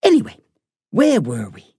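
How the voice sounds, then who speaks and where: A reader voicing a blunt but kind woman doctor with an Irish accent, earthy and warm in her talk. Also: medium pitch, huge pitch swings, normal speed, slightly rough, dry recording, studio quality, pitched above the performer's own voice, full character voice. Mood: wry. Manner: salty, earthy talk said with warmth. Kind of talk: audiobook character reading.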